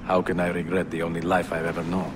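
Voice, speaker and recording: A man's voice: low voice, background noise, close to an everyday voice. A man voicing a stern young assassin. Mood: resolute. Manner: calm, measured, commanding. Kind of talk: video game cutscene acting. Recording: game score under the dialogue.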